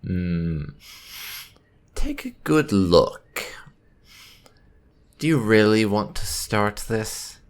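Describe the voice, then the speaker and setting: A man's voice: lowish voice, sing-song, very slow, long pauses, smooth. A man doing roleplay commentary over a video game, musing and replying in character. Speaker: gaming YouTuber playing in character. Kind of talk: roleplay let's play commentary.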